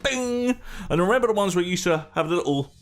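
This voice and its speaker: A man's voice: sits high, fast talker, faint music behind. A British man hosting a gaming podcast, joking around in loose, rambling chat. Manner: jokey, lively, rambling asides. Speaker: podcast host. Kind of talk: casual banter.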